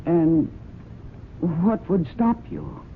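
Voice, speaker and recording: A woman's voice: lowish voice, huge pitch swings, drawn-out words, heavily acted. An actress playing a desperate, cornered woman in a radio drama, earnest and agitated. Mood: anxious, pleading. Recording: noisy, narrow-band old radio broadcast.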